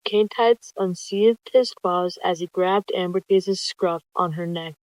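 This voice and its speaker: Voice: mid-range voice, unhurried, no echo. A narrator reading a roleplay story dramatically, describing the characters' actions, on a lo-fi recording. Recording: dull lo-fi close dry recording.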